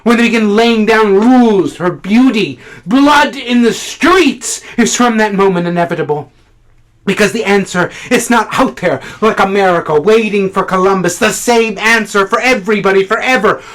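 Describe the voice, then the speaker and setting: A man performing a theatrical monologue as a fervent, awkward, idealistic literary critic, halting at times and then declamatory. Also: sits high, fairly bright tone, pitched above the performer's own voice. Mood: indignant.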